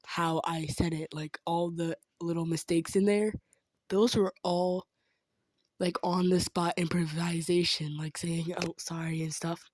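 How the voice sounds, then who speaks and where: Casual, rambling woman, low-key and dry. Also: darkish tone, no room sound. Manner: informal, rambling, apologetic. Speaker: audio roleplay creator. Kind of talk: casual chat to listeners.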